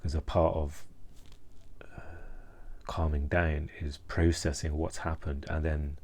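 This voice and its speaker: A man speaking in a hesitant, halting way, searching for words and subdued with distress, in a personal reflective vlog monologue. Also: rumbling low, little pitch movement, a little mellow, husky. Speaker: mental health vlogger.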